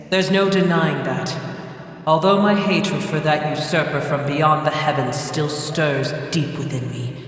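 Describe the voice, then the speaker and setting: An ancient, scornful dragon from a video game, speaking in a somewhat high male register. The delivery is grave, formal and imperious, swimming in cavernous echo and long reverb. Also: fairly bright tone. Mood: proud, scornful. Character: ancient proud dragon, vast, solemn and scornful of humans. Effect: heavy echoing reverb. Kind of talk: English video game voice acting.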